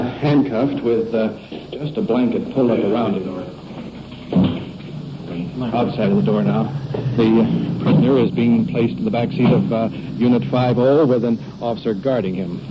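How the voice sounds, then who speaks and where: A man's voice: on the low side, normal speed. An American man reporting on location with police as a 1950s radio documentary narrator, hesitantly piecing his account together as he goes. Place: on location with police, vehicle and ambience noise. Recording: muffled, very noisy 1950s field radio recording.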